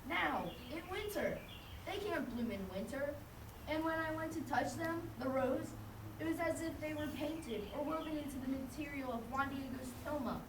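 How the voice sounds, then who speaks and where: A woman playing a pushy, excitable friar in a puppet show, exclaiming eagerly and insistently. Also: high voice, moderate pace. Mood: amazed, excited. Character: pushy, excitable friar, bishop's nosy male doorkeeper. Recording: echoey, noisy, distant live recording.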